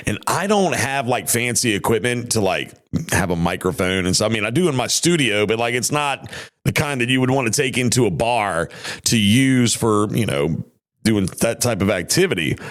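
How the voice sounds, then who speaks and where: A man hosting a podcast in casual chat, his voice tired and worn, rambling in a folksy way with many restarts. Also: medium pitch. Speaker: podcast host. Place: home studio.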